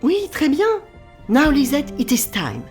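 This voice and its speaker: A woman's voice: high voice, huge pitch swings, a little slow, darkish tone, soft background music, full character voice. An actress playing an older French café madame in an audio drama, wry, wise and motherly, with a coaxing, theatrical way of commanding. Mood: gently commanding.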